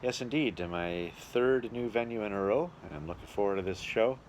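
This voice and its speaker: A man's voice: fairly low pitch, brisk. A man talks casually to his video diary. He is chatty and rambling, as if amusing himself, with faint noise around him. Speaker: travelling musical entertainer and vlogger.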